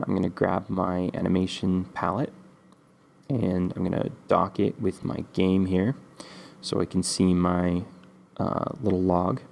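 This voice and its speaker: Man, very low gravelly voice, congested from a cold; easygoing, rambling tutorial host. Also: slight background noise. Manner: relaxed, rambling with many ums. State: stuffy from a cold. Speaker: game development tutorial host. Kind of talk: casual screen-recorded coding tutorial.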